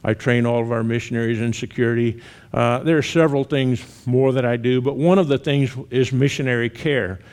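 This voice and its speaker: Older Southern male missionary, sixties, relaxed conversational church talk with light humor. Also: mid-range voice, brisk. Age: 60s. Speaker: missionary guest speaker.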